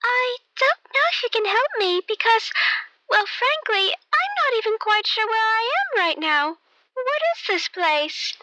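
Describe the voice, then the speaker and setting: A woman's voice: very high-pitched, animated, unhurried, bright, forceful tone, slight background noise, voice pushed up, heavily acted, poor audio. A woman voicing a lost, flustered young newcomer, easily exasperated, in an amateur radio play. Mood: confused.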